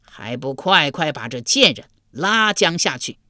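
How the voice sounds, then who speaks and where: A stern old King of Hell rules over the dead in a spoken storybook tale, put on by a woman. He speaks slowly, low and commanding. The recording is close and dry. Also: sing-song, fairly bright tone, dry recording, full character voice. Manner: slow, booming, commanding.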